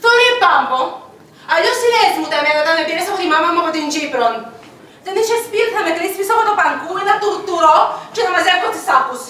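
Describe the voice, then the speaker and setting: A woman's voice: very high-pitched, expressive, sharp tone, heavy reverb, distant mic, slight background noise, heavily acted. A woman playing a whiny, theatrical wife with a Cypriot Greek accent who dreams of a singing career, in a comedy sketch. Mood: complaining. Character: whiny Cypriot wife, aspiring nightclub singer.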